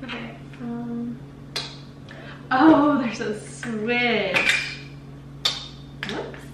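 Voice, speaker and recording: A young woman with a slightly high voice talks casually to a handheld camera, thinking out loud and dropping "like" often. The recording picks up loud ambient noise around her. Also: drawn-out words. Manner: chatty, thinking out loud, filler "like". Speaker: lifestyle vlogger. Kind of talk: casual vlog chat to camera. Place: apartment balcony, car and kitchen.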